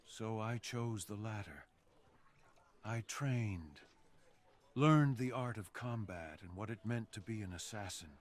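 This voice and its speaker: A brooding fox assassin, acted out by a man speaks in a fairly low voice for an ASMR roleplay. He is intimate and flirtatious, leaving dramatic pauses as he bares his feelings with earnest, seductive intent. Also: expressive. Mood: resolute, grave. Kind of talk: ASMR roleplay, slow intimate scripted monologue.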